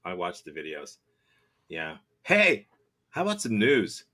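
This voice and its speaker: A man's voice: sits low, huge pitch swings, unhurried, sharp tone. Dry-humored male comedy host. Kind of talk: comedy show banter.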